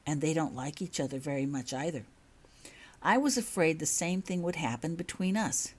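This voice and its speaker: A woman's voice: deep voice, darkish tone, husky, exaggerated voice. A woman voicing an earnest young schoolgirl's confiding letter in a storybook reading.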